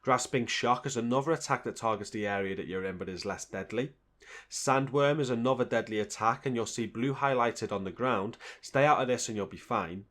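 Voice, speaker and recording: A man narrates a video game guide in a mid-pitched voice. He delivers it as scripted, instructional commentary, explaining things step by step. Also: brisk, a bit bright.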